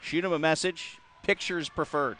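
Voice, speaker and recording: A man from the Upper Midwest hosting a local hockey talk show, chatty and quick to laugh, with many filler words. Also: fairly high pitch, steady tone, a bit bright, a little hiss or hum. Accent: Upper Midwest American. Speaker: host. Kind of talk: casual sports talk.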